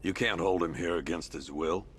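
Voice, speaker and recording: An older police commissioner in a video game. He is gruff and weary, with a very deep, incredulous voice. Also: normal speed, a bit bright, a little hiss or hum. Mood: alarmed. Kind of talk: video game cutscene acting.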